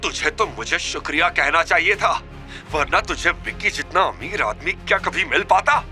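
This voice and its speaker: Scheming older woman for audio drama, played by a man: higher voice, sharp-tongued, defensive. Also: normal speed, soft background music. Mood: indignant, scolding. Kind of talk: Hindi audio drama acting.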